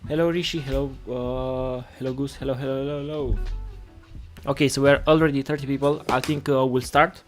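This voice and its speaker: A man from Romania hosting a casual live coding tutorial, halting and repeating his words as he goes. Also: medium pitch, steady tone. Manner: halting, repeats words while reading chat. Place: indoors at a computer desk. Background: music playing, keyboard typing.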